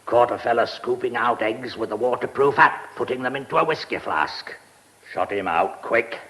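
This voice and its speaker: An actor with a British accent playing an eccentric, brusque lordly press baron in a radio drama, speaking in clipped, mumbling phrases. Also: male voice, medium pitch, normal speed, fairly bright tone, natural voice, low-quality recording. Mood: proud, absorbed.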